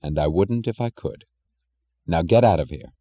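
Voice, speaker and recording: A man voicing a cold, composed woman who speaks quietly, clipped and restrained. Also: low-pitched, moderate pace, dull audio.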